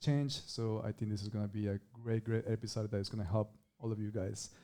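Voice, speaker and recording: A man's voice: on the low side, fairly even intonation, a little fast, dark tone. A man from Ecuador, a tennis pro and trainer, chatting casually as a podcast guest in an upbeat, friendly way.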